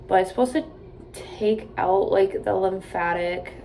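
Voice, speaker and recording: A woman's voice: mid-range voice, steady tone, measured pace, creaky, mellow, a little hiss or hum, low-quality recording. A woman in her late twenties chatting casually to camera from a hotel room, rambling with lots of "like" and "um". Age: 20s. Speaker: vlogger.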